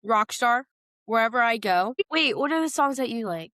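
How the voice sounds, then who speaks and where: This is a young American woman co-hosting a casual podcast chat. Her voice sits a little high and her phrasing is slangy and emphatic. The recording is dry and close. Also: expressive, normal speed, sharp tone, no echo. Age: young adult. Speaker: podcast co-host.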